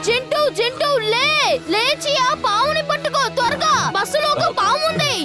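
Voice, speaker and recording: A woman's voice: extremely high pitch, moderate pace. A woman voicing an eager, earnest and bossy young boy hero in a children's cartoon. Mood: urgent commanding. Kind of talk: dubbed children's cartoon acting. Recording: loud music behind the voice.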